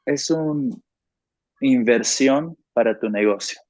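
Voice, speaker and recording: A man's voice: medium pitch, slow pace, a little mellow, no echo. A man working as a consecutive interpreter on an online group call. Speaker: interpreter between English and Spanish. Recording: dry, dull lo-fi call audio.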